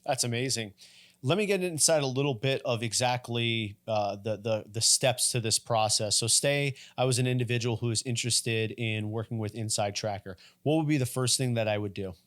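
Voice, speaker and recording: A man's voice: mid-range voice, moderate pace, fairly bright tone. A man hosting a fitness podcast, asking enthusiastic, rambling questions with fillers and restarts. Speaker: podcast host and fitness coach. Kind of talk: podcast interview.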